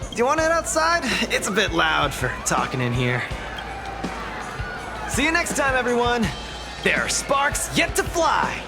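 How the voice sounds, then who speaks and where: A man's voice: very high-pitched, huge pitch swings, unhurried, creaky, long pauses, crowd noise, full character voice. Young male game fighter, wildly varied intonation, cheerful, cocky, eager, music behind. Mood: breezy, friendly. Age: young adult. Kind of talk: video game dialogue acting.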